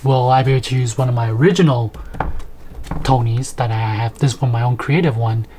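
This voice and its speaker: Male vlogger, thirties, conversational product walkthrough, mid-pitched, with clear background noise. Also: fairly even intonation, fairly quick, warm tone. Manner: conversational, thinking aloud. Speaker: video host, product reviewer. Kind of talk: casual unboxing and setup commentary. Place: small home room, indoors.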